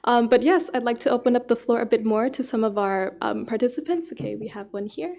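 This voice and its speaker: A woman's voice: fairly high pitch, fairly quick, gravelly, darkish tone. A woman moderating a forum discussion, summing up remarks and speaking off the cuff. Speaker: session moderator. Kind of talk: spontaneous moderating of a panel discussion.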